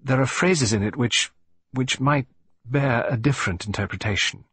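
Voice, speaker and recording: A man's voice: medium pitch, normal speed, a bit bright, breathy edge, no echo. A man voicing a frightened young upper-class lady, hesitant and pleading. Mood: distressed. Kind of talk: audiobook reading.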